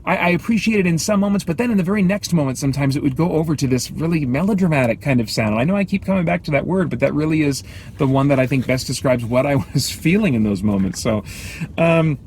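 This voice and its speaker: A middle-aged American man giving a casual off-the-cuff review, thinking aloud in a halting, self-interrupting way. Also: fairly high pitch, lively intonation, a little mellow. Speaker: movie reviewer and host. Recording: clear background noise, ambient sound.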